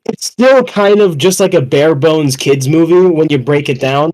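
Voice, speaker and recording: A young man in casual podcast chat, talking excitably with plenty of slang and swearing. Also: fairly high pitch, normal speed, low-quality recording. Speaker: podcast panelist.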